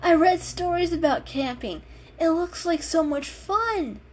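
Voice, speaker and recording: A woman voicing an eager, excitable young male cat in a storybook, exclaiming with enthusiasm. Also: very high voice, unhurried, voice pushed up. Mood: excited.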